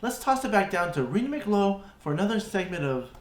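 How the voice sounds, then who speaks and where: A man's voice: high-pitched, big pitch swings, fairly quick, very noisy. A man playing a chirpy small-town TV news anchor in a mock newscast. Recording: echoey studio, loud music.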